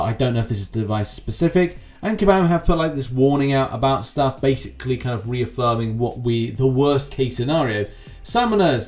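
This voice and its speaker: Man, gaming news host, soft-edged mellow tone, mid-range pitch, commentary to viewers, emphatic openers, trailing asides.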